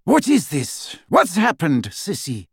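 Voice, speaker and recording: A fierce dark witch who swings from quiet menace to shrieks, put on by a male narrator. Her voice sits far above his own and jumps about wildly. The reading is dry and close. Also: fairly high pitch, huge pitch swings, takes it slowly, husky, no room sound, pitched above the performer's own voice, full character voice. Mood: menacing.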